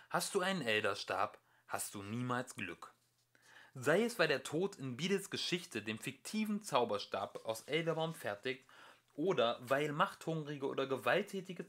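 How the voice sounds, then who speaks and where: A man reading a scripted audiobook as an amateur narrator, with expressive, varied emphasis. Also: medium pitch.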